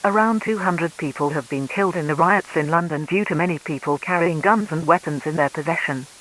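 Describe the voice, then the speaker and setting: Male announcer, fairly high pitch, for scripted urgent public warnings on an emergency broadcast. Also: brisk, a bit bright, a little hiss or hum, low-quality recording.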